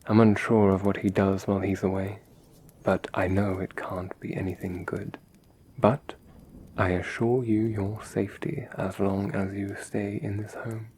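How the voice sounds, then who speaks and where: A man playing a courteous, brooding young vampire in an ASMR roleplay, speaking in a measured, formal, reassuring way. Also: fairly low pitch, flat delivery, a little mellow, a little hiss or hum, natural voice, poor audio. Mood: softly reassuring.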